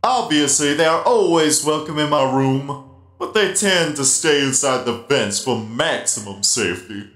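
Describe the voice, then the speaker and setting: Give this giant animatronic spider DJ , played by a man, an unhurried, animated delivery. He is genial and playful, happy to explain things patiently. The voice sits in a roomy echo with music behind it, in comic dub style. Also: on the high side. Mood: playful, welcoming. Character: giant friendly half-blind animatronic spider DJ. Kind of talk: comic dub character acting.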